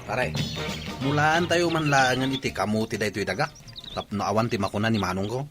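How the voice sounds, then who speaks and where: A man's voice: on the low side, fairly quick, over loud music, lightly acted. A man with a Filipino accent playing a lazy, scheming village drunkard in a comedy radio drama, brash and dismissive.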